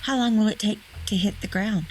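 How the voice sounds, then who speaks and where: A woman's voice: medium pitch, brisk, darkish tone, lightly acted, poor audio. A woman voicing a naive, curious wife with an innocent questioning manner in a horror story. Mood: puzzled. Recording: faint background noise.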